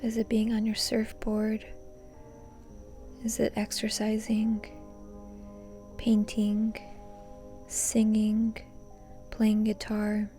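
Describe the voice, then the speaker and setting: A woman leading a guided meditation in a soft, breathy, often near-whispered voice, calm and gently instructing. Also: fairly high pitch. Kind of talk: soothing guided meditation narration.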